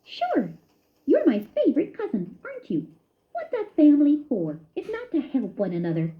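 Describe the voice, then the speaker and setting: A woman voicing a cheerful, generous young boy mouse with a squeaky voice. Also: wildly swooping pitch, moderate pace, heavily acted. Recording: dull lo-fi recording.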